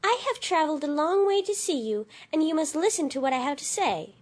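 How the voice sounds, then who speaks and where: A young girl queen, acted by a woman, speaks in a sweet, high, melodic voice. She is courteous and dignified, with firm storybook poise. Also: big pitch swings, brisk. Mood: calm, firm. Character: gentle, dignified, self-assured girl ruler. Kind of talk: dramatized storybook acting.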